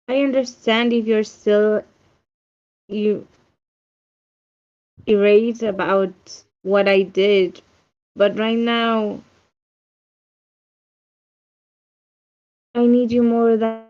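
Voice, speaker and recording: Woman as a female witch mentor, commanding, unsentimental, fairly high voice, screenplay read, dull online sound. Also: fairly even intonation, slow pace, pauses often. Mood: pleading. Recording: muffled online meeting audio.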